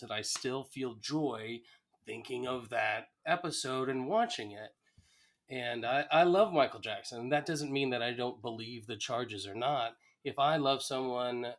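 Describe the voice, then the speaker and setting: A man's voice: mid-range voice, fairly bright tone. A man co-hosting a podcast, talking casually in an earnest, reflective way. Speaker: podcast co-host.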